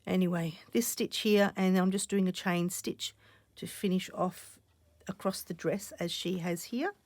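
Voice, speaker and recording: Woman host, croaky after a cough, low and gravelly, chatty crafting talk that slips into half-whispers, close dry mic. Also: steady tone, unhurried, no room sound. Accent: Australian. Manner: chatty, thinking aloud, drops to soft half-whispers while stitching. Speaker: stitching channel host. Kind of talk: casual crafting vlog chat.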